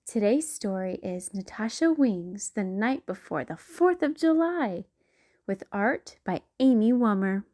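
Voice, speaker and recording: A woman hosting a storytime, reading a rhyming picture book aloud in an expressive, sing-song way with big leaps in pitch. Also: a little slow. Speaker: children's book reader.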